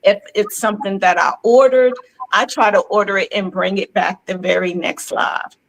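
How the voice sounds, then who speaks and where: A woman's voice: medium pitch, fairly even intonation, gravelly, poor audio. A woman in her fifties with a New York accent, a boutique owner speaking earnestly over a video call, repeating affirmations as she makes her case. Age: 50s. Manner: slow, earnest, affirming repetition. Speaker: resale boutique owner, award finalist. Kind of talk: virtual meet and greet interview.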